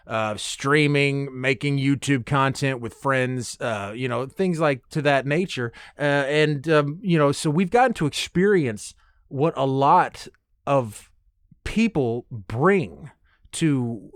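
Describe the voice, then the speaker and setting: A man's voice: mid-range voice, clean tone, dry recording, clean studio sound. A man hosting a podcast alone in a casual, loose, rambling monologue with a playful, self-deprecating manner. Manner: rambling, loose, tangent-prone. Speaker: podcast host.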